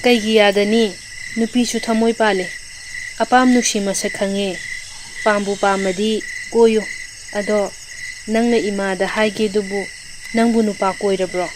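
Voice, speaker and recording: A woman's voice: medium pitch, steady tone, rapid-fire, pauses often, noisy background, lightly acted. A woman with a Manipuri accent playing a middle-aged mother, scolding but caring, in an audio drama. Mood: probing, cautioning. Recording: music and insect sounds behind. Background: music and crickets.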